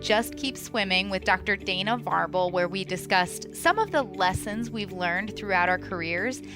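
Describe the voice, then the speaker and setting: Upbeat woman hosting a podcast. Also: on the high side. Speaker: podcast host. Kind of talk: scripted podcast introduction.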